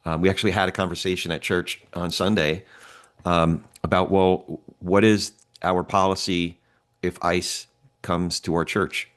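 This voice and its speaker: Deep-voiced male podcast host, relaxed. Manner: relaxed, halting, many fillers and restarts. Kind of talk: casual roundtable discussion.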